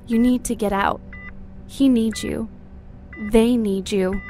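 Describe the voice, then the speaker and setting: A woman's voice: fairly high pitch, steady tone, slow, warm tone, slight background noise. A woman playing a sardonic dead wife in a nightmare, speaking cryptically and mockingly in an audio drama. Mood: urgent, cryptic.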